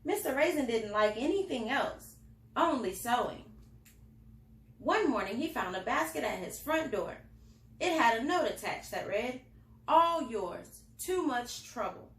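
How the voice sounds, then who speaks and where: A woman's voice: fairly high pitch, animated, normal speed. A woman reading a picture book aloud to children, expressively and with little asides to the listeners. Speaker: library storytime reader. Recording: roomy, echoing indoor sound.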